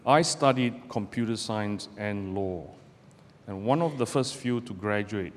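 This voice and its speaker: A middle-aged man from Malaysia, a barrister giving a measured, deliberate public talk to students from a podium in a lecture hall. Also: fairly low pitch. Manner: measured, deliberate delivery with pauses. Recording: roomy hall with long reverb, faint background noise.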